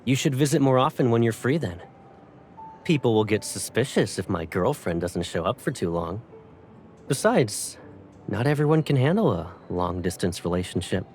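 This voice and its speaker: A man's voice: on the low side, expressive, normal speed, darkish tone, soft background music, lightly acted. A man playing a young pilot cadet, a doting and teasing boyfriend, in a romantic game fan dub. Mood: teasing, tender.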